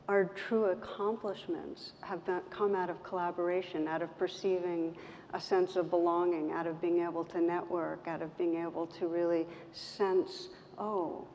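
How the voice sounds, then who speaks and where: Soft spoken lady meditation teacher, mellow but a bit bright, talking slowly w pauses in a big echoey hall, some noise. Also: lowish voice. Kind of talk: reflective talk in an on-stage conversation. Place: event stage in a hall. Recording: roomy hall, muffled, background noise.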